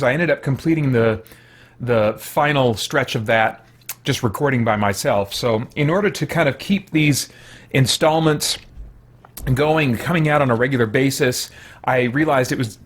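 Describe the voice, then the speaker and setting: An American man chatting casually as a livestream host, thinking aloud in a rambling, self-correcting way. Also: medium pitch. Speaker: live streamer, gaming host.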